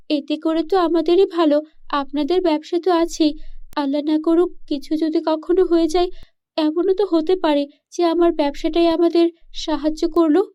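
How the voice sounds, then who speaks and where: A woman's voice: extremely high pitch, warm tone, lo-fi sound, voice pushed up. A woman voicing an eager, hopeful young daughter-in-law in a cartoon, pleading earnestly.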